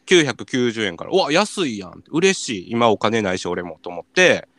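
A young man with a Kansai accent hosting a radio show, telling casual comic stories in an animated way with acted-out reactions. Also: fairly low pitch, normal speed, dry recording. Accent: Kansai Japanese. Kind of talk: casual comic storytelling chat.

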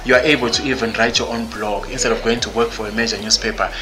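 Blunt low-voiced Zimbabwean man. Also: fairly even intonation, fast talker, crowd noise. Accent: Zimbabwean English. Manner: blunt, outspoken; many fillers and restarts. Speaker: podcast guest. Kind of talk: candid interview conversation.

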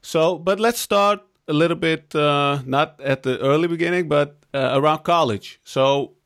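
Male podcast host, from iran via the netherlands, gravelly fry, friendly, hesitant. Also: on the high side, normal speed, a bit bright. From Iran, raised in the Netherlands. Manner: friendly, laughing, hesitant with fillers. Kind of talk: casual interview chat.

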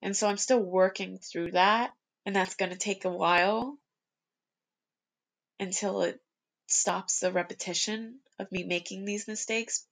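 A woman's voice: medium pitch, vocal fry. A young woman hosting her own podcast, talking earnestly and reflectively to listeners, often asking herself questions aloud. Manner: earnest, self-reflective, speaks in rhetorical questions. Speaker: podcast host. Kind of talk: reflective solo podcast monologue.

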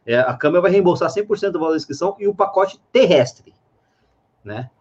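Husky man on a muffled line. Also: high voice, lively intonation, fairly quick, a little mellow. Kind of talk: casual chat about running. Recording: muffled lo-fi audio.

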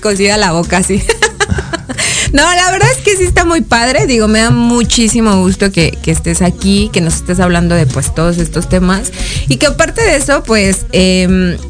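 A woman with a Mexican accent hosting a casual interview, chatty and quick to laugh. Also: mid-range voice, expressive, faint music behind. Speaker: host.

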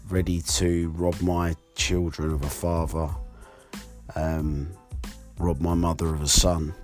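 A hoarse young man hosting his podcast alone, talking candidly and rambling with fillers. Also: low voice, darkish tone. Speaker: podcast host. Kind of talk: solo podcast monologue.

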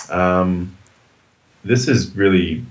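A man's voice: low-pitched, very slow, poor audio. A man co-hosting a movie podcast in casual chat, animatedly retelling scenes with relish. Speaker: podcast co-host.

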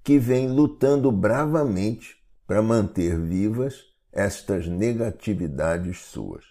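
Male meditation narrator, Brazilian, husky and unhurried, formal instructive read. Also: fairly low pitch, animated, mellow. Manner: measured, instructive, formal wording. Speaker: meditation guide. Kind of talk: scripted guided meditation narration.

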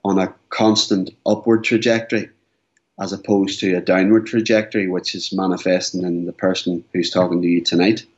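Brief: earnest forties man, deep voice, muffled lo-fi recording. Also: flat delivery, moderate pace. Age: 40s. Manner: earnest, repeats words as he speaks. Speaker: guest. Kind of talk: candid interview. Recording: dull lo-fi sound.

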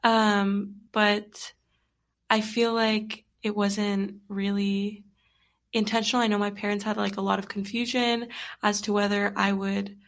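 Young woman, podcast guest, chatty, full of fillers, gravelly edge. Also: mid-range voice, flat delivery, unhurried. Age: young adult. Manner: chatty, many fillers, laughs often. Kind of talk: casual interview conversation.

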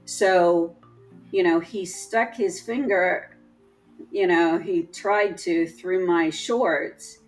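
A woman telling a personal story in casual chat, halting and restarting often, with a trained, projecting voice. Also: fairly low pitch, soft background music, poor audio. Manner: slow, halting, many restarts.

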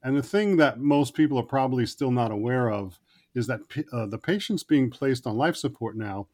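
A man's voice: medium pitch, lively intonation, normal speed, darkish tone. Male interview host, middle-register voice, conversational delivery with some prepared phrasing. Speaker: podcast host interviewing a guest.

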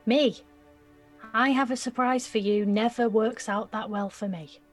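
Excitable, mouthy teenage girl. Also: fairly high pitch, unhurried, soft background music. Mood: wary, grumpy. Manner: slangy, blurting, eager. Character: excitable, mouthy nineteen-year-old girl learning beekeeping, insecure. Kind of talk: audio drama acting.

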